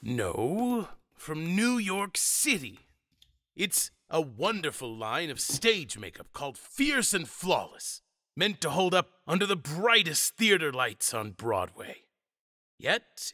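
A man playing a patient, careful monster father, measured and explanatory, in an audio drama. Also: fairly high pitch, sing-song, pushed and bright, dry recording, clean studio sound, heavily acted. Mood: measured, cautious.

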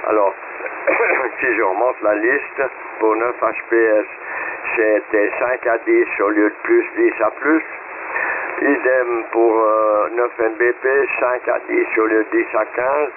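A male amateur radio operator reads back his reports at an unhurried, deliberate pace. His voice comes through a narrow shortwave channel with clear hiss. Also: on the low side, steady tone. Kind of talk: casual radio chat giving signal reports.

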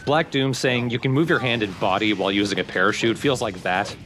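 A man's voice: fairly low pitch, a little fast, music in the background. A man co-hosting a let's play, giving casual game commentary with dry sarcasm.

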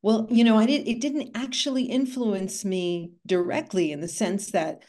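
A woman's voice: mid-range voice, steady tone, normal speed, darkish tone. Cuban-born woman author on a video call. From Cuba. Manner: thoughtful, storytelling, frequent asides. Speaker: author and art conservator. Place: home room with bookshelves.

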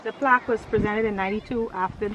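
A woman's voice: mid-range voice, steady tone, fast talker, muffled recording. A woman with a Bahamian accent informally explaining local sights outdoors as a guide, picked up by someone else's camera. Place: outdoors in an open sandy lot. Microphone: someone else's handheld camera. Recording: clear outdoor background noise.

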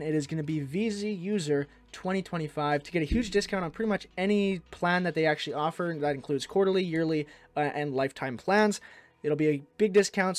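A man hosting a software tutorial, narrating in a casual, conversational way. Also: a little high. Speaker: tutorial host.

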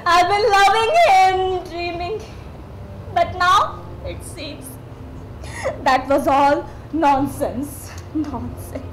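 A woman's voice: very high voice, drawn-out words, heavily acted. A woman playing a tearful despairing young woman. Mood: wistful. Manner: tearful, anguished outbursts. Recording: echoey stage audio, faint hum.

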